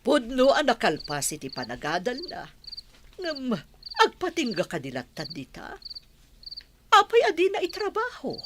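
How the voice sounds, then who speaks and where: A woman acting a worried, exasperated lady of the house in a radio drama, with an expressive manner. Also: sits high, moderate pace, long pauses. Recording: clearly noisy background.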